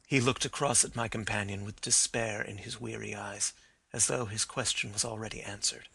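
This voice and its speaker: A man narrating a detective story in a steady, plain manner. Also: lowish voice, moderate pace, creaky, husky, dry recording.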